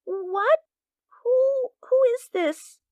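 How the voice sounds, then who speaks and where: A woman's voice: extremely high pitch, extremely slow, no room sound, lo-fi sound, exaggerated voice. A woman voicing Miss Landis, a curt, offended neighbor on the phone in a radio drama. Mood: indignant. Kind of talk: hobby radio drama acting.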